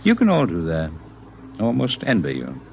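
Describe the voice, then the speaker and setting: An actor playing a gentle, wistful alien visitor who speaks in formal, measured phrases in a 1950s radio drama. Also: male voice, on the low side, wildly swooping pitch, creaky, exaggerated voice. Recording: noisy vintage radio broadcast recording.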